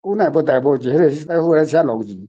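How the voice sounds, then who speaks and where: Mellow Taiwanese man, dull recording, guitar behind. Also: a little high, quick pace. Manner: peppers talk with checking tag questions. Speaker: guest commentator. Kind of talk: casual chat. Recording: dull lo-fi sound under guitar music. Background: plucked guitar music.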